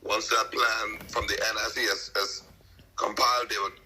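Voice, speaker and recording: Very sharp-sounding man, halting interview answers. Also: fairly low pitch, level tone, a little hiss or hum, rough recording. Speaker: government minister being interviewed.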